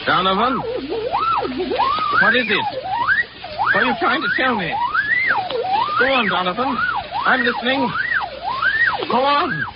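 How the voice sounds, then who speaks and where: Obsessed scientist in old dull-sounding radio drama. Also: male voice, extremely high pitch, huge pitch swings, measured pace, gravelly, pauses often, very noisy, exaggerated voice. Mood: frantic, urgent. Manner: theatrical, grandiose, agitated. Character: obsessed, paranoid male scientist, middle-aged father, unravelling. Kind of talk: 1940s radio acting. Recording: dull 1940s radio recording with loud music.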